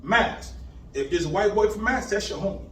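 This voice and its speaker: A man in his fifties from Boston, telling his life story in animated street slang, rattling off places. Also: sits high, moderate pace. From Boston, Massachusetts. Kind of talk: casual storytelling interview. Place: indoor room on location. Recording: echoey room, far mic, faint background hiss.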